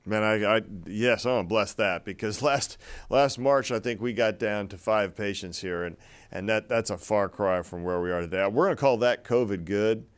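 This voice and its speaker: A man's voice: on the low side, moderate pace, clean tone. A man hosting a panel of doctors, conversational and collegial, with a folksy touch. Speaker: host, physician moderator.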